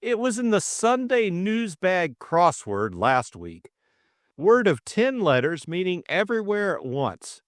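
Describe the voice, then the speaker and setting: A jaunty young English gentleman sleuth as played by a male narrator, glib and teasing. His pitch swings freely through unhurried, drawling lines, recorded close and dry. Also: wildly swooping pitch, no room sound, heavily acted. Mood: flippant. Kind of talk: audiobook character acting.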